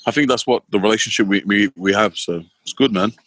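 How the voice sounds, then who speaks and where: A man's voice: fairly low pitch, a little fast, a bit bright, slight background noise. A man in his twenties from Queensland with an Australian accent, a podcast guest talking casually, laid-back with lots of fillers. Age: 20s. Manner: laid-back, many fillers, laughs easily. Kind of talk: casual interview talk.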